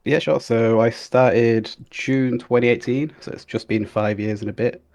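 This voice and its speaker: A young man who is a guest on a podcast, answering in an easygoing, relaxed way with fillers. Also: medium pitch, a little slow. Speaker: guest, game QA analyst. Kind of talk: casual interview chat.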